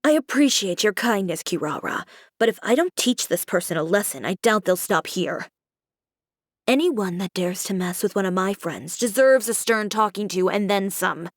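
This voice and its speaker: Cool, composed fashion designer. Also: female voice, medium pitch, animated, moderate pace, no room sound. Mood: stern. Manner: composed, dry, blunt. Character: cool, self-assured young female fashion designer, sternly protective. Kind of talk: video game character acting.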